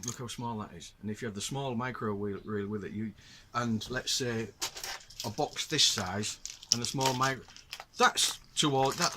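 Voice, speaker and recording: A man's voice: medium pitch, background noise. A middle-aged man from the north of England with a Northern English accent, chatting patiently to viewers as he explains his gear. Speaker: host. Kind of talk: casual show-and-tell demonstration.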